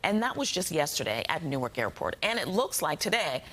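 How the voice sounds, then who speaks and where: Female morning news anchor with a low voice and broadcast delivery. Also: big pitch swings, brisk, fairly bright tone, husky.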